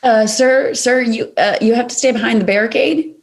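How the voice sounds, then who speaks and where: A woman's voice: a little high, steady tone, moderate pace, natural voice. Woman putting on feeble, flustered traffic cop. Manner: hesitant, stammering.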